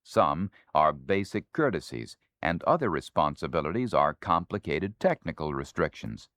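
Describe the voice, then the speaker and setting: An American man reading scripted instructional narration in a formal, measured, authoritative manner. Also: extremely low pitch, big pitch swings, fairly bright tone, no room sound. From United States. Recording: dry 1970s spoken-word LP.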